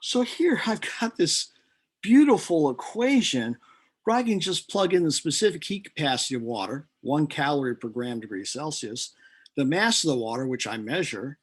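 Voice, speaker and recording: A man's voice: on the high side, husky. A man teaching chemistry in a recorded lecture, walking through a lab calculation in a conversational way, with fillers, self-corrections and dry asides about himself. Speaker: chemistry instructor.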